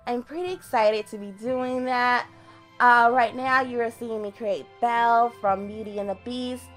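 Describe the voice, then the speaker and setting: Sleepy young woman rambling, deep voice. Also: steady tone, measured pace, faint music behind. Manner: chatty, rambling, self-deprecating. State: sleepy late at night. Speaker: gaming YouTuber. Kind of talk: casual game voiceover. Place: home, voiceover. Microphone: desktop USB mic.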